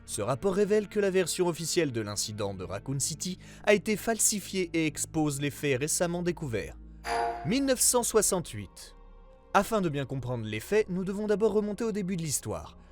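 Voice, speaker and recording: A man reading a scripted investigative report as narrator, in a formal, measured way. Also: on the high side.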